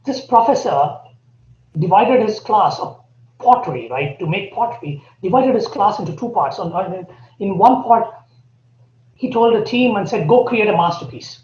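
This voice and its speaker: A man with an Indian accent, a podcast guest laying out his points earnestly and deliberately. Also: high voice, lively intonation, darkish tone. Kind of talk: podcast interview. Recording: echoey, dull remote audio.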